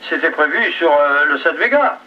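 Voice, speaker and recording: Male radio amateur, joking and chatty, slightly high pitch, heavy static over the relay. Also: lively intonation, moderate pace. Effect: two-way radio transmission. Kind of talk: casual radio chat with sign-offs. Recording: dull, noisy ham radio relay, distant.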